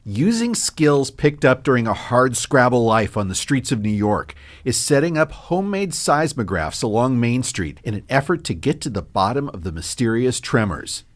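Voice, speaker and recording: A man's voice: mid-range voice, sing-song, moderate pace, hardly any pauses, close to an everyday voice. A man narrating a comic audio serial in a scripted storytelling style. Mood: dramatic recap.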